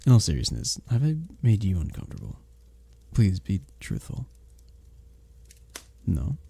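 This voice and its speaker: Man playing a dark-voiced, flirty medieval innkeeper. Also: low voice, wildly swooping pitch, unhurried, heavily acted. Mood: earnest, caring. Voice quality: dark, husky, gravelly. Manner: formal old-fashioned diction, teasing, gently courteous. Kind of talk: romantic ASMR roleplay, scripted character acting.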